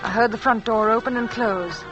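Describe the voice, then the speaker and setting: A woman acting a devoted young wife living in dread, in 1940s radio drama. Her voice is on the high side, hesitant and dramatic. The sound is dull, with music clearly behind it. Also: normal speed, close to an everyday voice. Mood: haunted, confiding. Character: anxious, devoted young wife haunted by fear. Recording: dull old radio recording.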